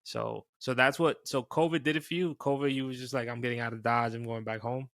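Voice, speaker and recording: A man's voice: mid-range voice, fairly even intonation, a little fast, a bit bright, no echo. Laid-back New York man, dry sound. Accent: New York, Dominican-influenced. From New York City. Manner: laid-back, peppers speech with "bro". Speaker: podcast guest. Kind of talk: casual chat.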